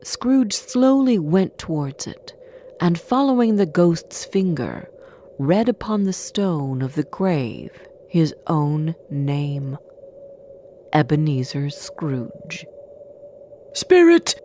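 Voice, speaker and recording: A woman reading a scripted storybook tale as narrator, with an expressive, deliberate delivery. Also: deep voice, slight background noise.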